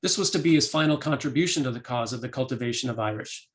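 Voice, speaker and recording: A man, an academic lecturer, reading a prepared talk in a measured way and voicing quoted passages. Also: medium pitch. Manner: measured, reads quotations aloud.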